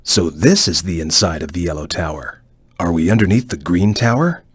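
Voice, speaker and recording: A man playing a calm, analytical ninja chameleon in a video game, giving wary hints in a deliberate, serious, husky voice. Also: low voice, dry recording, clean studio sound. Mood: calm, watchful. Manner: deliberate, serious, formal, cautioning. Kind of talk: video game character hint lines.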